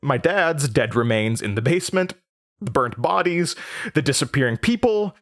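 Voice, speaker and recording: Anxious, haunted young man, halting speech. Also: a little high, lively intonation. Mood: pleading, distraught. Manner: halting, nervous, stammering. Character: anxious, haunted young Midwestern man recalling traumatic memories. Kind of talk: audio drama acting, confessional monologue.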